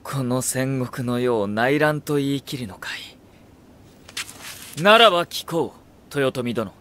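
An actor playing a refined, cerebral young strategist in an audio drama, with elegant, courtly, probing phrasing. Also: male voice, mid-range voice, lively intonation, slow pace, fairly bright tone. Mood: probing, cool. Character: refined, cerebral young male strategist, coolly devoted to his lord. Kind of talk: Japanese audio drama acting.

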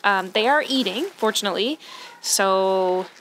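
A woman in her thirties from Canada, filming herself outdoors in a rural yard at arm's length, talking softly and rambling with many fillers, sad. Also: mid-range voice, a little slow, low-quality recording. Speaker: homestead vlogger. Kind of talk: casual vlog to camera. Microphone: camera held at arm's length. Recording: outdoor camera audio with background noise.

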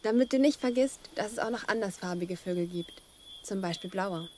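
A woman's voice: mid-range voice, moderate pace, warm tone, a little hiss or hum, lightly acted. A woman voicing a sad, tender young female blue bird in an audio play, with a wistful, pleading manner. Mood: tender farewell.

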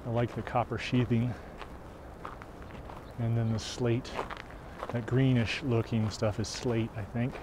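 A man's voice: mid-range voice, flat delivery, a little slow, long pauses. A man narrating casually while walking outdoors across a snowy campus, musing aloud and unsure of building names. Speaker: walking tour guide. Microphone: camera carried while walking. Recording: outdoor wind and traffic noise. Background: wind and street noise.

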